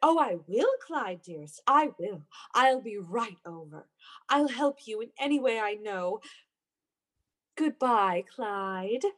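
A woman's voice: medium pitch, expressive, takes it slowly, fairly bright tone. An actress playing an obsessive, unhinged young woman in a melodramatic radio play, with a theatrical, breathless, intense manner. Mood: sweetly sympathetic. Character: obsessive, unhinged young woman convinced of her dark power. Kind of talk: melodramatic old-time radio play acting.

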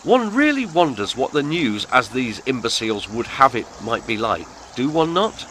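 A man's voice: mid-range voice, sing-song, normal speed, fairly bright tone, a little hiss or hum. Pompous sardonic man. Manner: florid, pompous, sardonic phrasing. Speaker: podcast host. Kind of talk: scripted satirical monologue.

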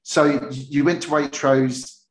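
A man's voice: medium pitch, level tone, measured pace, a little hiss or hum. A man hosting a podcast interview in a casual, jokey and rambling conversational way. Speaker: podcast host. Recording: roomy, echoey sound.

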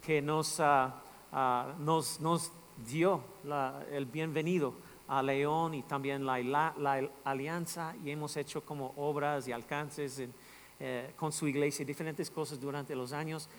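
Pastor guy introducing someone, halting and friendly, echoey church hall. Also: a little high, fairly even intonation. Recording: reverberant hall with faint noise.

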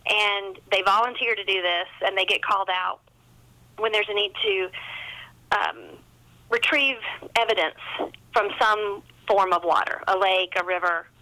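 Bright-voiced woman, chatty interview guest. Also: medium pitch, low-quality recording. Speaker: author, podcast guest. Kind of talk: casual interview conversation.

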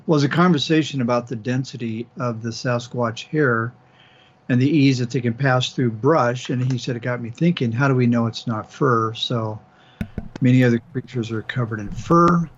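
A man's voice: medium pitch, moderate pace, highs cut off, slight background noise. A man co-hosting a casual podcast chat, hesitant and full of fillers as he reads listener questions. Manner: hesitant, many fillers and restarts. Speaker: podcast co-host.

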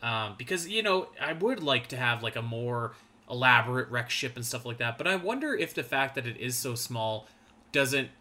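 A man's voice: mid-range voice, lively intonation, normal speed, sharp tone. A man in his thirties co-hosting a gaming podcast, talking in a rambling, laid-back way with lots of fillers. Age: 30s. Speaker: podcast co-host. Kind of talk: casual gaming podcast chat.

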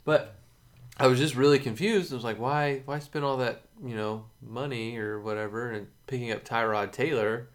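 A young man co-hosting a casual podcast, telling stories in a halting, chatty way. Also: mid-range voice, fairly even intonation. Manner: chatty storyteller, halting with fillers. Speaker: podcast co-host. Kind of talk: casual sports chat.

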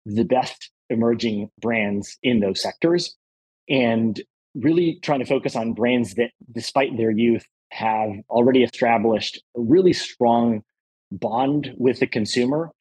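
A man's voice: mid-range voice, a little slow, darkish tone, breathy edge, low-quality recording. A man from El Salvador, a guest on an interview podcast, talking earnestly and with enthusiasm, often leaning on the word "really.". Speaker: private equity partner, guest.

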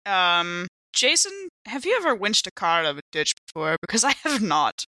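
A woman's voice: lowish voice, big pitch swings, moderate pace, pushed and bright, no room sound. A woman playing a tabletop role-playing game, playfully reacting and casually improvising for her character. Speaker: tabletop game player on a podcast.

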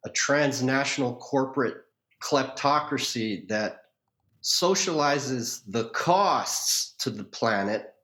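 A man's voice: mid-range voice, lively intonation, takes it slowly, bright, forceful tone, echoey room. A man from Hawaii with an American accent speaks as a podcast guest, telling opinionated stories in a wordy, erudite, wry way. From Hawaii, United States. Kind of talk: conversational interview, opinionated storytelling.

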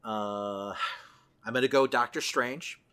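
A man's voice: mid-range voice, slow, a bit bright, clear, resonant tone. A man co-hosting a podcast, chatting casually and thinking aloud as he goes. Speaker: podcast co-host. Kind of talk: casual chat about comic book films.

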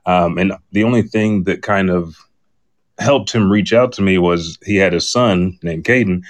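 A man's voice: low voice, measured pace. A middle-aged man, a podcast guest, casually telling personal stories.

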